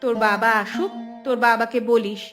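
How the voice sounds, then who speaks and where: Woman as a high-voiced poor village mother. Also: fairly even intonation, normal speed, over music, natural voice. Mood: resigned. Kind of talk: cartoon folk-tale dialogue.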